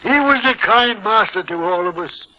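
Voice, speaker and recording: A man's voice: high voice, animated, normal speed. An actor playing a miserly old man, shaken and repentant, in 1950s radio drama style. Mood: wistful, regretful. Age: older. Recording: noisy, dull 1950s radio broadcast.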